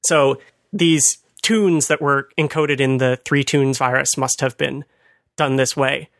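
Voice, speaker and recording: A man's voice: medium pitch, fairly even intonation, a little slow, a bit bright, no echo. Chatty man explaining, dry close sound. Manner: chatty, laughs at his own asides. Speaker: podcast host. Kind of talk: casual explanatory chat.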